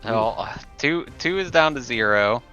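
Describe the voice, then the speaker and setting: A man's voice: mid-range voice, lively intonation, moderate pace, sharp tone, highs cut off, faint music behind. A man playing a tabletop role-playing game, chatting casually and talking through his moves and rules.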